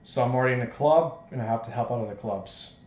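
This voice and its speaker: A man's voice: mid-range voice, quick pace, darkish tone. A man with a mid-range voice plays through a visual novel and comments as he goes. He is jokey, rambling and a little self-conscious. The room sounds echoey. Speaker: let's play host. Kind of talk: joking commentary and read-aloud of a visual novel. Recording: echoey, roomy home recording.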